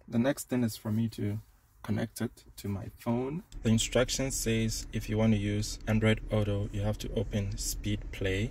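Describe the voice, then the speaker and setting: Guy w a lowish voice doing a casual tech review in his car, some noise behind him. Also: warm tone. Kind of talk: casual hands-on product demo narration. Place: inside a parked car.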